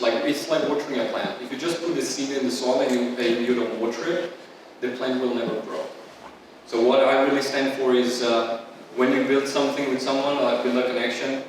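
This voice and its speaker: A man's voice: medium pitch, steady tone, normal speed. Rambling man speaking in an echoey hall. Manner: rambling, heavy use of "like" and "uh". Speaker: founder, event organizer. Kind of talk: welcome speech. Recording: echoey hall, distant mic, faint hum.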